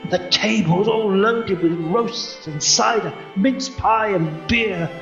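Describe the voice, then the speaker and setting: A man's voice: high voice, slow, vocal fry, a bit bright, heavily acted. A man acting an elderly miser in a radio play, stammering, exclaiming and repeating his words with theatrical feeling. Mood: joyful. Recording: roomy, dull audio with music.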